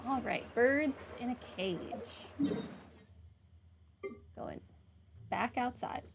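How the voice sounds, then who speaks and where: A woman's voice: a little high, expressive, drawn-out words, vocal fry, noisy background. A woman hosting a gaming video, giving casual playthrough commentary in wry, chatty asides.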